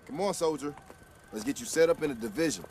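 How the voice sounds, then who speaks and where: An actor playing a brisk, matter-of-fact army soldier who gives instructions to a new recruit in a video game. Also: male voice, a little high, lively intonation, a little mellow, slight background noise, natural voice. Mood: brisk, businesslike. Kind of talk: military instruction.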